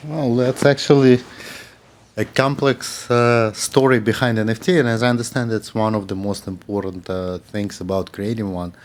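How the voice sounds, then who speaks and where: A man's voice: fairly low pitch, fairly even intonation, moderate pace. A man hosting a talk show interview, speaking hesitantly with many fillers.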